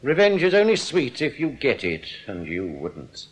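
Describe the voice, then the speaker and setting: A smug English bank crook in a radio play. He talks in wordy, mock-gracious flourishes, and his pitch swings widely as he oozes theatrical politeness. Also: wildly swooping pitch, moderate pace, husky, dull audio. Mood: smugly menacing. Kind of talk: British radio drama acting.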